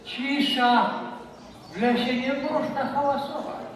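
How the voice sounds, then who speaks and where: A man's voice: very high-pitched, flat delivery, takes it slowly, a bit bright, heavily acted. A man playing a stern, lecturing professor. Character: strict, pedantic male professor leading a school trip. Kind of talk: children's stage play. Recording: echoey hall from far off, audience.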